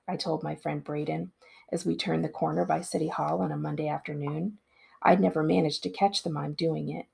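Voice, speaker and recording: Female storybook narrator, librarian read-aloud style: expressive delivery, lower register, strongly raspy. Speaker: school librarian reading aloud.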